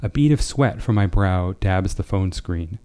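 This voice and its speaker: A man's voice: low voice, lively intonation, a little slow, mellow, smooth. A man speaking as a writer, in an understated way, reading or discussing his own short fiction. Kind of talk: literary reading, then casual discussion.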